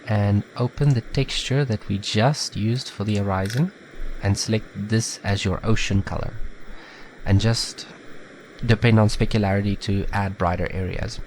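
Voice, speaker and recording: A man with a slightly nasal, stuffed-up voice from a head cold, giving a relaxed, chatty step-by-step software tutorial. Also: lowish voice, moderate pace, a little hiss or hum, poor audio. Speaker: tutorial maker. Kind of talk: casual screen-recorded software tutorial.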